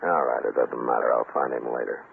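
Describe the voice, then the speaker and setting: A stern Old West lawman in a radio western. His voice sits extremely low with a gravelly fry, and he speaks in terse, unhurried commands. The old recording is narrow, phone-like and thin. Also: fairly even intonation, full character voice. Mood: low, resolute. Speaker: radio actor. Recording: noisy, tinny old-time radio recording.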